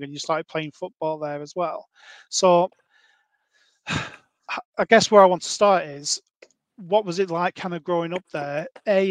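A man's voice: fairly high pitch, dry recording. A man in his thirties hosting a casual podcast interview, asking long rambling questions with many fillers. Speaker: podcast host.

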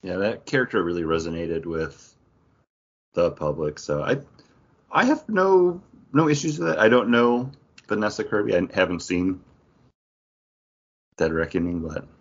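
Lively low-voiced man, slightly dull audio. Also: normal speed, pauses often. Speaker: podcast host. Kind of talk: casual chat.

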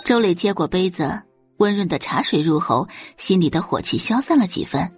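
A woman reading scripted narration for an audio drama in an expressive storytelling style. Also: fairly low pitch, normal speed, husky, soft background music.

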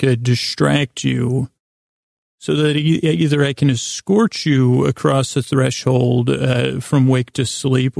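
A man with a gravelly, creaky voice, recorded close and dry. He hosts a bedtime show, rambling in a soothing, improvised way. His sentences stumble, restart and wander off into gentle tangents. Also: mid-range voice, no room sound. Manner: meandering, stammering, with repeated false starts and tangents; lulling, soothing delivery. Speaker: host of a bedtime sleep podcast.

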